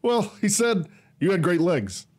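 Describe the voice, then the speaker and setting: A man plays a cocky smuggler rogue in a sci-fi audio drama. He is brash and dismissive, with lively, swinging intonation. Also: high voice, measured pace, full character voice.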